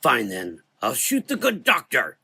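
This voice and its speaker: A man's voice: mid-range voice, wildly swooping pitch, measured pace, gravelly, slightly rough, dry recording, full character voice. A man voicing a fanatical villain doctor in a gothic horror audio drama, sneering, taunting and volatile. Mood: agitated. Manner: taunting, volatile, shouting in anger.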